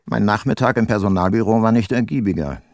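Deep, dry older male detective narrator played by an actor. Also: quick pace, no echo, close to an everyday voice. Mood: wry, rueful. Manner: dry, ironic. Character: older, dryly ironic male detective narrating his case. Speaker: first-person narrator. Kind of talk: scripted radio play narration.

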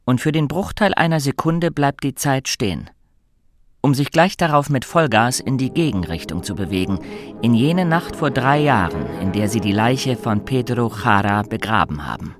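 A man narrates a radio drama from a script. His voice is medium in pitch, and music plays clearly behind it. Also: animated, moderate pace.

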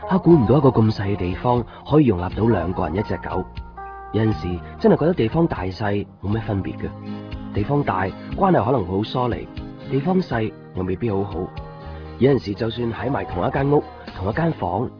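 Eager lovestruck young shop lad in a radio play, talks fast, kinda muffled old broadcast. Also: male voice, fairly low pitch. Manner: earnest, stammering and repeating himself. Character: eager, shy young copy-shop man, earnest and lovestruck. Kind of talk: Cantonese radio drama dialogue and inner narration. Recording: muffled 1990s radio broadcast, music underneath. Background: music.

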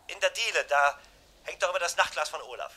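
A man's voice: a little high, quick pace, sharp tone. An actor playing an anxious, insistent man in a German radio crime drama, giving clipped instructions while trying to hide his alarm. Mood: urgent, commanding. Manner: insistent, clipped instructions, breaking off mid-thought. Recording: noisy vintage radio play recording.

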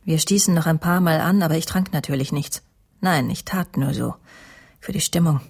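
A woman's voice: low voice, moderate pace, vocal fry, darkish tone, slightly rough, dry recording, studio quality, exaggerated voice. An actress playing a cold, scheming friend in a radio crime drama, sardonic and matter-of-fact as she speaks and narrates. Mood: cool, self-justifying. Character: cold, scheming woman, spiteful friend, narrating her own deeds.

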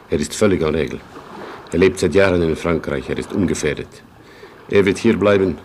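A man's voice: extremely low pitch, a little mellow, a little hiss or hum. A man playing a proud, passionate Polish colonel with a grandiloquent, declamatory manner in a German radio play. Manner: pompous, declamatory.

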